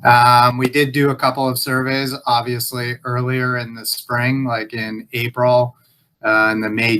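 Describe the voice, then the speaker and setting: A man giving an informal update on a conference call in a halting, hesitant way. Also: mid-range voice, pushed and bright, low-quality recording. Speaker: local employer survey presenter. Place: group video call.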